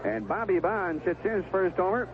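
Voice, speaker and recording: An American man calling baseball play-by-play on the radio, in clipped phrases with conversational asides between pitches. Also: normal speed. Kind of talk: live sports commentary. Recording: vintage 1970s AM radio broadcast, tinny, noisy.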